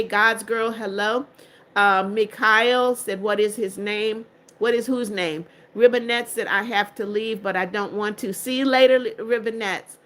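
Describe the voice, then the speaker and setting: A woman's voice: on the high side, a bit bright. An older woman hosting a livestream, reading out chat comments and greeting viewers in a firm, strict way. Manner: strict, firm about blocking spammers. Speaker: livestream host.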